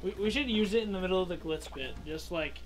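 A man's voice: sits high, fast talker. A man giving chatty, joking commentary on a video game in a casual style. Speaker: gaming co-commentator. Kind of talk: casual let's play commentary. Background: video game music.

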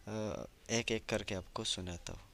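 A man's voice: fairly low pitch, steady tone, a little slow, fairly bright tone, slight background noise. A man giving casual commentary as a gaming YouTuber. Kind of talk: casual game walkthrough commentary.

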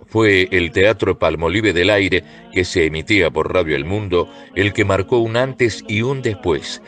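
A man's voice: low voice, fairly bright tone. A man reading scripted documentary narration.

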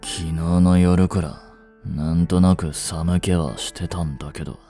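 A blunt, aloof teenage boyfriend, voiced by a man in a romance audio drama, talking in a deep, dark, unhurried voice. He brushes things off curtly. Soft music plays under him. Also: steady tone. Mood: feverish, curt. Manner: curt, dismissive, low-voiced. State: feverish and weak. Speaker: voice actor.

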